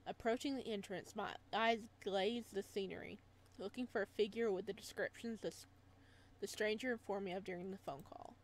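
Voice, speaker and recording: Expressive woman reading and reacting aloud. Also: medium pitch, brisk, a little hiss or hum, rough recording. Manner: expressive, chatty asides between read passages. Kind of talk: let's play narration with casual reactions.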